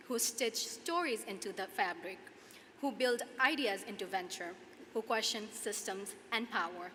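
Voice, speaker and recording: Bright-voiced young Nepali-accented woman giving a speech. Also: medium pitch. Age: 20s. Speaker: student commencement speaker. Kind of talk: prepared commencement speech. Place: large hall at a podium, audience ambience. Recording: echoey hall PA, clear background noise.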